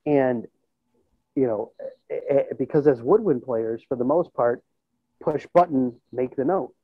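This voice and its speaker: Man in his thirties, husky voice, medium pitch, casual reflective interviewee, thinking aloud, phone-like call audio. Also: measured pace, dry recording. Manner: conversational, thinking aloud, checks in with "you know" and "right?". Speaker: musician and school band teacher being interviewed. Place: indoors in a plain room on a video call. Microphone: earbud headset. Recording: tinny, band-limited video call audio.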